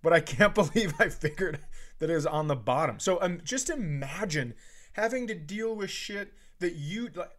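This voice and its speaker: Slightly high-voiced man ranting casually. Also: expressive, moderate pace, a bit bright. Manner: profane, exasperated ranting. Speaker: comedian, podcast co-host. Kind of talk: casual comedic storytelling.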